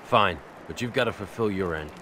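An actor playing a gruff, menacing biker antihero who talks in terse, blunt lines for a video game. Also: male voice, low voice, brisk, pushed and bright, slight background noise. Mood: cold, blunt. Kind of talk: video game cutscene acting.

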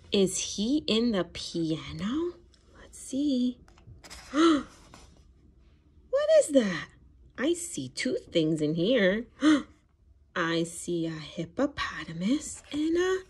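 A woman reading a picture book aloud to young children in an animated, expressive way, with gasps, questions and encouraging praise. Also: fairly high pitch, extremely slow, pauses often, husky. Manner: animated, expressive, sing-song. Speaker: children's read-aloud storyteller. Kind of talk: interactive picture-book read-aloud for toddlers.

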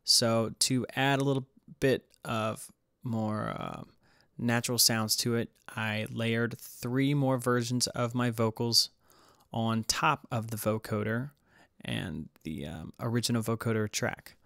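A man's voice: medium pitch, fairly even intonation, takes it slowly, creaky, a bit bright, no echo, clean studio sound. A man giving a casual, hesitant tutorial voice-over as he explains music production. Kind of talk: casual screen-recorded tutorial narration.

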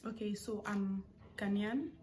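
A woman's voice: mid-range voice, unhurried, a little mellow. A young woman with a Nigerian accent chatting casually to camera as a vlogger, with informal asides as she answers questions. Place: living room of a family house, indoors. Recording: clear household background noise. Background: household noises.

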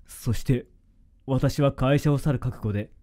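A man's voice: mid-range voice, a little slow, warm tone, dry recording, studio quality, close to an everyday voice. An actor playing a grave, apologetic middle-aged father full of remorse in a radio drama. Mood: grave, remorseful. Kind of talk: Japanese radio drama acting.

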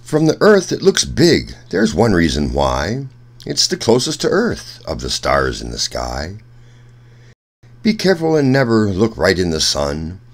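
A man reading a rhyming children's picture book aloud in an expressive, sing-song storyteller's way. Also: sits low, a little slow. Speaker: children's story reader, host.

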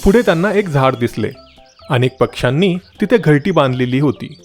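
A man's voice: fairly high pitch, expressive, normal speed, faint music behind. A man narrating a scripted moral story for children as a storyteller.

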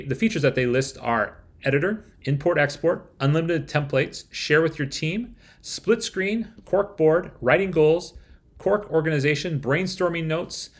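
A man in his forties presenting a scripted review to camera, with wry rhetorical asides. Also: on the high side. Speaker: software reviewer. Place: small room at home, indoors.

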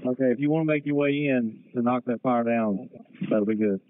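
A man serving as fire incident commander, speaking in measured, procedural radio traffic over a two-way radio. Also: medium pitch, clean tone. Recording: narrow tinny radio channel with noise and clicks.

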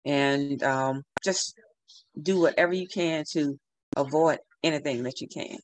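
A middle-aged woman giving relaxed, repetitive gardening advice over a video call from a room at home. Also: deep voice, measured pace, no echo, poor audio. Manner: relaxed, advising, repeats herself. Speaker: gardening speaker. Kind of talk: casual online talk. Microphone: video call webcam.

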